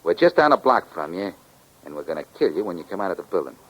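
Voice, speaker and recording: A man's voice: low-pitched, sing-song, fast talker, a bit bright, exaggerated voice, poor audio. An actor playing a tough, suspicious gangster henchman with slangy, ungrammatical speech in a 1940s radio crime drama. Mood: threatening. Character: slow-talking, menacing gangster stooge, suspicious and tough. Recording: vintage 1940s radio recording.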